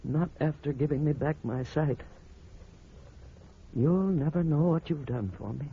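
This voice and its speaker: An actor playing an aging blind husband, devoted yet superstitious and suspicious, in a theatrical, emphatic vintage radio drama style. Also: male voice, a little high, measured pace. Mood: wistful, devoted. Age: older. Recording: muffled, noisy old radio recording.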